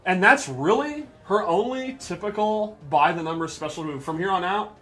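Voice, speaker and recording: High-voiced man explaining video game moves. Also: lively intonation, normal speed, sharp tone, faint music behind. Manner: explanatory, informal, emphatic. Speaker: game developer presenter on a livestream. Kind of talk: live gameplay demonstration commentary.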